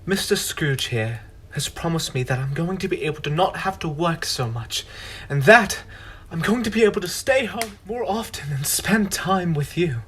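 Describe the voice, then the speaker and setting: A man's voice: on the high side, lively intonation, moderate pace, a bit bright, a little hiss or hum. A teenage boy playing a humble, deferential poor clerk and father in a school stage play, often stammering. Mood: flustered. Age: teens.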